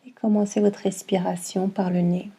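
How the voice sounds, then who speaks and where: A woman teaching yoga nidra, guiding a meditation in a calm, soothing manner with long pauses between short instructions. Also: medium pitch, slightly rough. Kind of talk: guided meditation, soft instruction.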